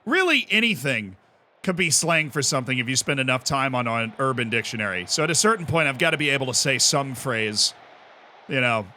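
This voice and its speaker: An American man live-streaming a game, commenting excitedly, shouting at big moments and cracking jokes in between. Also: medium pitch, brisk, slight background noise. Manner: excitable, shouts at goals, jokey asides.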